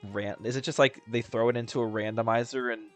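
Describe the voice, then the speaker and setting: A man's voice: medium pitch, fairly even intonation, fairly quick, soft background music. Rambling young guy commenting on a game. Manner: rambling, chatty, lots of fillers and restarts. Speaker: gaming video host. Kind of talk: casual gameplay commentary.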